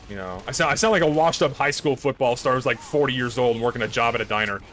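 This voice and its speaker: A hoarse, tired young man chatting casually on a live stream, rambling and blunt with plenty of swearing. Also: medium pitch, fast talker, fairly bright tone, slight background noise.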